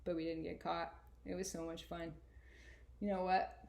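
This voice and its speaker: A woman voicing a sarcastic, earnest boy narrator in an expressive, colloquial first-person storybook reading. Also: lowish voice, normal speed, vocal fry. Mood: candid. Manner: colloquial, exasperated, emphatic.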